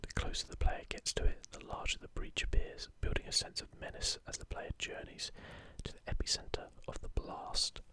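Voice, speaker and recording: A man whispering softly and breathily in an unhurried, gentle ASMR commentary. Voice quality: soft, breathy unvoiced whisper. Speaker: gaming ASMR video creator. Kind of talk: whispered ASMR, casual commentary with passages read aloud.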